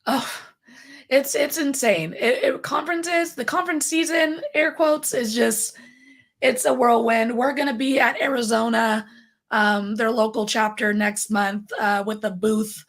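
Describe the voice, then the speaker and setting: A woman with a raspy but high voice hosts a live podcast stream. She talks in a bubbly, rambling way, full of fillers and little laughs. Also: steady tone, measured pace. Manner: bubbly, chatty, many fillers. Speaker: podcast host. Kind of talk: casual live-stream chat.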